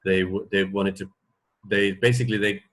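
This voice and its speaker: Low-voiced man hosting a casual radio chat. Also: steady tone, normal speed, a bit bright. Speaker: radio show host. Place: at home.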